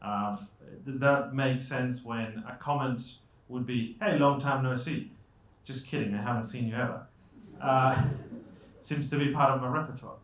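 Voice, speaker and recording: A man's voice: medium pitch, steady tone, a little slow, hardly any pauses. A man from Colombia giving a conversational motivational talk to an audience, with self-deprecating humour and rhetorical questions. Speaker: guest speaker. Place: indoor event stage. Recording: echoey room.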